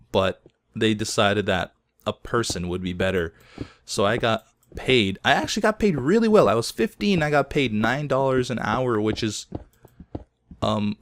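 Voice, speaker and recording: A somewhat low-voiced man in his thirties, hoarse as though he is losing his voice. He rambles through personal stories while playing, chatty and self-deprecating, laughing at himself often. He is recorded at home on a desk mic. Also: a little hiss or hum. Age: 30s. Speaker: gaming video host. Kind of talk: casual gameplay commentary.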